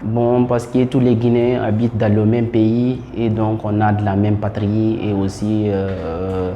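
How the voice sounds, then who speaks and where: A man's voice: lowish voice, level tone, measured pace, muffled recording, slight background noise. A man from Guinea with a Guinean French accent answering in a plain, matter-of-fact way. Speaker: interviewee.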